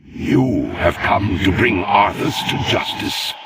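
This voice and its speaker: An actor playing the solemn spirit of a dead royal father in a video game, grave, noble and declamatory, with a gravelly voice. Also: fairly low pitch, slow pace, very noisy. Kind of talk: dramatic video game acting.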